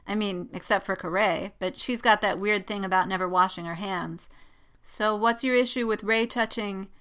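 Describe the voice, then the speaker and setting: A woman's voice: mid-range voice, steady tone, normal speed, a bit bright, clear, resonant tone, natural voice. A woman reading the part of a teasing, gleeful male pilot in a story, sardonic and needling. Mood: helpful. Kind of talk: dramatic fan fiction reading.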